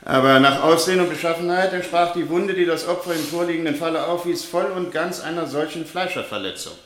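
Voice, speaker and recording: A man's voice: on the high side, brisk, fairly bright tone, close to an everyday voice. A man acting a pedantic court medical expert in a German radio play, formal, long-winded and self-assured. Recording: roomy, reverberant courtroom sound.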